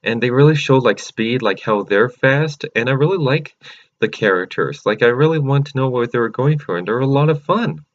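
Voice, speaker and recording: Excited rambling man reviewing a movie. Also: mid-range voice, fairly quick, dull audio. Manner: excited and rambling, many fillers and restarts. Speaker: channel host. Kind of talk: casual movie review vlog.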